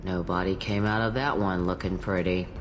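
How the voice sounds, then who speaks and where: An actress playing an ancient, blunt alien matriarch tending bar in a video game, with a gruff, wry manner. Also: female voice, very deep voice, steady tone, moderate pace, slight background noise. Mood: dry, casual. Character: jaded, blunt thousand-year-old alien matriarch bartender. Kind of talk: video game character dialogue.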